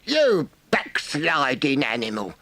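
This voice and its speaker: A man voicing a stern, authoritative older badger in an audiobook, with a firm and deliberate manner. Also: medium pitch, wildly swooping pitch, bright, forceful tone, no echo, pitched above the performer's own voice, exaggerated voice. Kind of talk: audiobook character voice.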